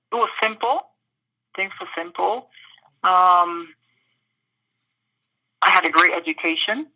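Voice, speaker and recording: A woman from the British Virgin Islands answering interview questions thoughtfully, pausing often with fillers. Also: on the low side, lo-fi sound. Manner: thoughtful, with many pauses and fillers.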